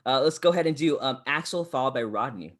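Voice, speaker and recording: A man's voice: medium pitch, expressive, quick pace, a bit bright. A man hosting and moderating a video call in casual, conversational speech. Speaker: host.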